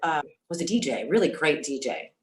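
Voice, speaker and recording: A woman who is a singer telling stories as an interview guest, speaking casually and candidly in a halting, reflective way. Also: deep voice, big pitch swings. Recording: roomy, echoey sound.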